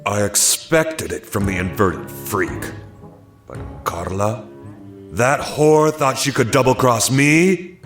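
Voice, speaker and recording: Man playing a blunt, slow-spoken hard man. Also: mid-range voice, a bit bright, reverberant, soft background music, pitched above the performer's own voice. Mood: contemptuous. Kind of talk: dramatized audio story dialogue.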